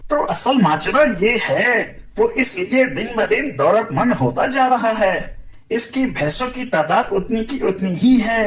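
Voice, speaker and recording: A man voicing a suspicious villager in an animated cartoon, wary and probing. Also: high-pitched, measured pace, recorded from a distance, a crowd in the background, poor audio.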